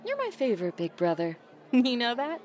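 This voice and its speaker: Lively, sardonic rich daughter. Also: female voice, a little high, moderate pace, soft background music. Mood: wry, teasing. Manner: dry, teasing sarcasm. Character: sardonic, resentful adult daughter of a tycoon. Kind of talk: naturalistic audio drama acting.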